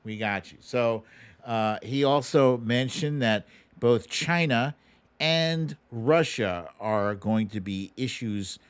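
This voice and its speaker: A middle-aged American man speaks at an unhurried, slow pace in a medium-pitched voice. He sounds like a livestream host, conversational and slangy as he gives casual commentary. The sound is close and dry. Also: smooth, no echo. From United States. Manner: informal, slangy, conversational, plain-spoken. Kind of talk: casual livestream commentary, taking viewer questions.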